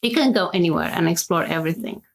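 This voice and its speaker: Romanian accent lady, a bit of vocal fry, explaining stuff in an interview, keeps saying right?. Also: on the low side, lively intonation, fast talker. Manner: explains at length, ends points with "right?". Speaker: hypnosis practitioner guest.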